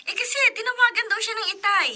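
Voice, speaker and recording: Worried younger Filipina sister, very high-pitched, piercingly bright, radio drama. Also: female voice, quick pace, full character voice. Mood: anxious, informing. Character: worried younger sister relaying family news.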